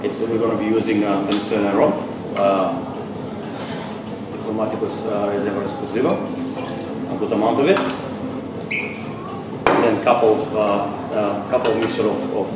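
Bartender, man in his thirties, lowish voice, halting demo delivery, far from the mic in a loud bar. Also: flat delivery, very echoey. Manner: halting, many fillers and restarts. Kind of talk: casual drink-making demo. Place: indoor bar. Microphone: distant camera. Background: clinking glasses and bar noise.

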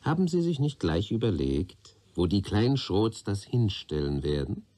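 An actor playing a persistent police interrogator in a radio crime drama, probing, methodical and authoritative. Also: male voice, fairly low pitch, big pitch swings, measured pace, mellow. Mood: quietly insistent.

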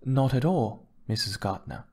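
A man acting a young-mannered, polite guitarist in a story reading. His voice sits at a middle pitch, and he speaks courteously and earnestly, with deference. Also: expressive, unhurried, warm tone, a little hiss or hum. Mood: reassuring.

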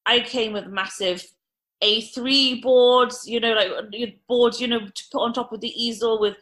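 A woman in her thirties, a restaurant owner, telling a podcast interviewer a long anecdote in an animated, chatty way with plenty of fillers. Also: a little high, unhurried.

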